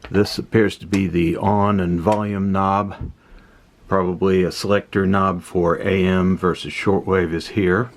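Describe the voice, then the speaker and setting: A man giving a casual hands-on explanation as a hobbyist host, pausing mid-phrase as he thinks aloud. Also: deep voice, flat delivery. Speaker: host of a vintage electronics repair channel.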